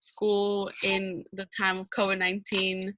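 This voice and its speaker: Bright-voiced young woman hosting a podcast. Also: mid-range voice, completely flat delivery, a little slow, a little hiss or hum, rough recording. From Arizona, USA. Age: young adult. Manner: bubbly, laughs often, says um and like. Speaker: podcast host. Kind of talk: casual podcast chat on a video call.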